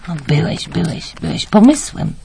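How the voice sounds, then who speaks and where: An actress playing a gentle, fond mother in a radio play, explaining things softly to her young son. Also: lowish voice, sing-song, normal speed, creaky, slight background noise. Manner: gentle, fond, soft chuckles.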